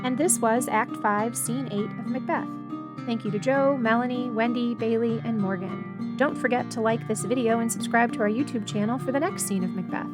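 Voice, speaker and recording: A woman's voice: fairly high pitch, moderate pace. A woman delivering a scripted outro announcement as an announcer. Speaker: announcer for library series. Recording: voice under loud music. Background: loud plucked guitar music.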